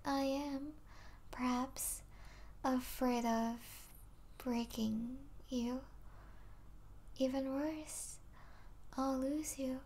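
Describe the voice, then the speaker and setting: A high-voiced vampire princess, played by a woman, lingers very slowly over her words. She is regal and possessive, yet soft and doting, slipping into breathy asides as if in an intimate ASMR roleplay. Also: fairly even intonation, a little hiss or hum. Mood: tender, confused. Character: possessive yet tender vampire princess, mistress of a human pet.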